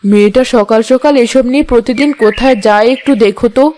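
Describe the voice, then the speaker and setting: A woman's voice: a little high, fast, dark tone, slight background noise, lightly acted. A woman playing a suspicious, bossy aunt. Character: strict, watchful aunt. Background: background music.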